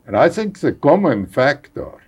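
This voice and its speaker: An older man from Hungary with a Hungarian accent, speaking haltingly as a guest in a conversational interview, repeating words and chuckling wryly. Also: on the high side, very animated, darkish tone, husky. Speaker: guest scientist.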